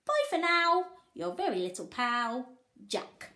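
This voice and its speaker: A cheeky young fairy-tale boy, acted by a woman reading a storybook, boastful and chatty. The delivery is colloquial and cocky, with big swings in pitch. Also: sing-song, takes it slowly, sharp tone, roomy sound, slight background noise, exaggerated voice. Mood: cheeky, loud.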